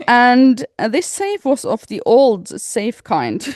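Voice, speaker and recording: A woman's voice: fairly high pitch, sing-song, takes it slowly, darkish tone, no room sound, studio quality. A woman from Norway co-hosting a podcast in a casual, informal, jokey chat style. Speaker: podcast co-host.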